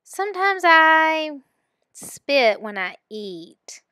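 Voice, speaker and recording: A woman voicing a shy, anxious young boy in a storybook, speaking in a halting, reluctant way. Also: high voice, very animated, sharp tone, no room sound, clean studio sound, voice pushed up, exaggerated voice. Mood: embarrassed.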